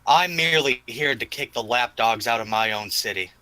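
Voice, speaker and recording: Defiant half-orc hero for tabletop play, male player, formal and challenging, very sharp tone, close dry sound. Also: medium pitch, moderate pace, no room sound, natural voice, low-quality recording. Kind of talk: tabletop roleplay dialogue.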